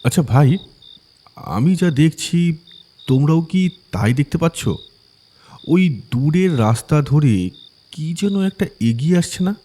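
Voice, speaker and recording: A man's voice: fairly high pitch, animated, slow, long pauses, dark tone. An actor playing a polite, puzzled male traveller in a horror audio story, explaining and asking in a courteous way. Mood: puzzled, uneasy.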